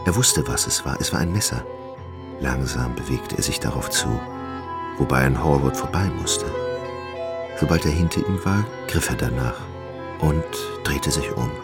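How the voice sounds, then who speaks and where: Deep, gravelly male radio-play narrator. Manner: deliberate, suspenseful storytelling. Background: music.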